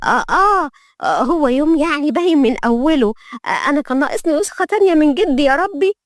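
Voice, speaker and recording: A woman performs a young Egyptian maid for an audio story. She is high-pitched, anxious and flustered, forever muttering and pleading. The sound is close and dry. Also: expressive, fast talker, dry recording. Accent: Egyptian Arabic.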